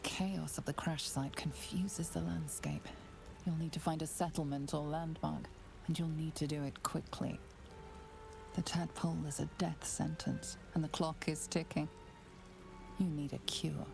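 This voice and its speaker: An actress playing a grave, ominous fantasy game narrator, with a hushed delivery, telling the player's story in the second person. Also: female voice, steady tone, a little slow. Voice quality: low, creaky, hushed at phrase ends. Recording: music behind the voice.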